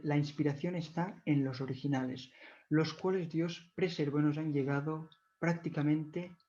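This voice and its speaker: Catalan-native male lecturer, slightly high-pitched, reverent and careful, muffled lo-fi audio. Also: darkish tone. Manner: measured, devout, corrects himself often. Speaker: video lecturer. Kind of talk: explanatory talk on church history. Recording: dull lo-fi recording.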